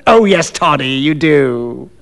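A man's voice: on the high side, lively intonation, takes it slowly, creaky, a bit bright, heavily acted. A man voicing a coarse, domineering little rogue in a story, rude, goading and commanding. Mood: taunting.

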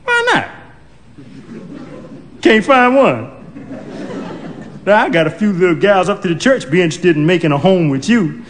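A man voicing a bossy, sharp-tongued elderly woman who talks in a high, demanding drawl. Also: big pitch swings, normal speed, long pauses. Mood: meddling. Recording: hall reverb, faint noise.

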